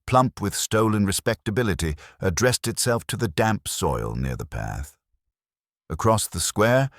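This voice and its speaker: A man reading a mystery story as a narrator, in a measured, dryly understated literary manner. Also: deep voice, vocal fry, dry recording, studio quality. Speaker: audiobook narrator. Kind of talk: scripted narration of a mystery novel.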